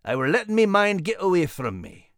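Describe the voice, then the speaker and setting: Man voicing a storybook dog, animated. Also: high-pitched, brisk, smooth, no echo, clean studio sound. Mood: defensive, good-natured. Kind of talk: animal-character storybook podcast acting.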